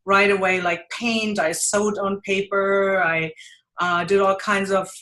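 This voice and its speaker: A woman's voice: medium pitch, steady tone, takes it slowly, a bit bright. A woman in her forties from Germany with a German accent, chatting as a podcast guest, laughing often and a little nervous. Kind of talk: casual interview chat.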